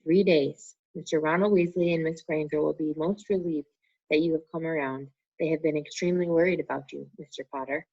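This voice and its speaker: A woman voicing a kindly old male headmaster wizard in a soft, calm, unhurried manner, read as a storybook. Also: low voice, breathy edge. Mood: gentle. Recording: muffled lo-fi recording.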